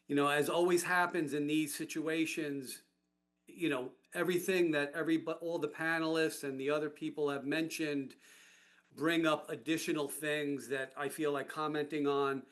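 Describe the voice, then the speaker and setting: A man giving a rambling, halting, earnest comment in a discussion. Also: fairly high pitch, a bit bright. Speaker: audience participant, public health system worker.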